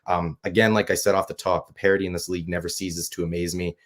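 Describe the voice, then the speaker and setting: Deep-voiced man, raspy from a cold. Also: level tone, fast talker. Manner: loose and chatty, many fillers and restarts. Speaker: show host. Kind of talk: casual sports talk.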